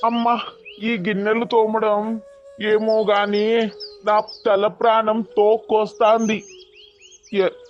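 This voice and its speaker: A man voicing a lazy young man who grumbles and complains in an animated children's story, on a dull, slightly noisy recording. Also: high-pitched, slow pace, long pauses. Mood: weary, grumbling.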